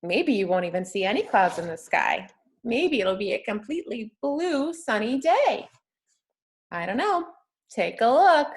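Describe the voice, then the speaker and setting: Slow, high-voiced woman reading to children. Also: big pitch swings. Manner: encouraging, simple child-directed talk with frequent questions. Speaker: teacher reading aloud to young children. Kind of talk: children's picture book read-aloud with questions.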